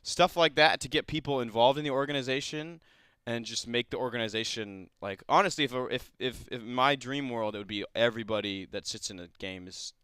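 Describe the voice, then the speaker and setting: A young college-age man chatting as a studio guest, his voice bright and smooth at a medium pitch. He rambles earnestly with frequent restarts. The studio sound is close and dry. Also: a little fast, no echo. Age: young adult. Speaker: student club president, studio guest. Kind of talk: casual interview chat. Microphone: studio mic.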